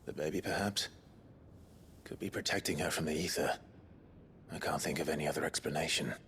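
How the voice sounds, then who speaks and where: An actor playing a grave, resolute fantasy game warrior with a British accent and measured, terse delivery. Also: male voice, a bit bright, slight background noise. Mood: grim, resolute. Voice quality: deep, low voice. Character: grave, resolute male warrior, deep and brooding. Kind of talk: cinematic fantasy game acting.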